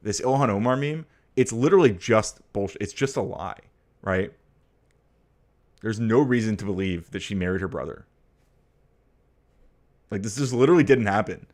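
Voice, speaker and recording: A young man from the United States with a very low, deep voice hosts a casual livestream. He rambles through his points with plenty of fillers and restarts, and turns blunt and profane when a topic riles him. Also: lively intonation, normal speed, long pauses, a little mellow. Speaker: livestream host. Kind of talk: casual political chat, reading viewer comments.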